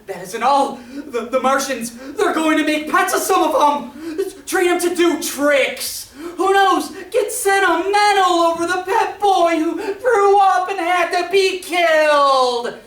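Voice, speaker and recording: Echoey distant stage recording, woman acting as bitter manic artilleryman, high voice, stammering, excitable, old-time radio drama. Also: slow pace, few pauses, full character voice. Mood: agitated, scornful. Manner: excitable, stammering, folksy, bursting into shouts. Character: manic, bitter male artilleryman dreaming of ruling the world. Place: stage performance in a roomy theatre. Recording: echoey stage, captured from far off.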